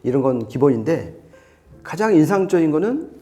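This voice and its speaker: A man's voice: a little high, big pitch swings, moderate pace, warm tone, soft background music. A man reviewing audio gear, explaining it conversationally to a co-presenter.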